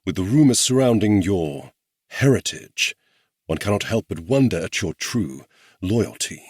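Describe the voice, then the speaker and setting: Man doing a smooth, unflappable English officer. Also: sits low, animated, measured pace, a little mellow, dry recording, clean studio sound, voice pushed up, heavily acted. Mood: cool. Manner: smooth, bland drawing-room accent.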